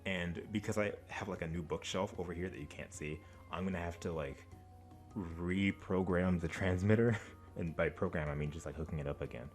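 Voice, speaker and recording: A man with a low voice talks casually as a reaction video host. His delivery is informal and rambling, with a playfully exasperated edge. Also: fairly quick, soft background music. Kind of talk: casual chat to camera.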